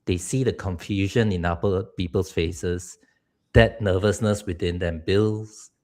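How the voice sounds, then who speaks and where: A man's voice: low voice, steady tone, unhurried, a little mellow. A middle-aged man from Singapore, a public speaking coach giving earnest advice on a live stream. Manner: earnest, advice-giving, laughs now and then.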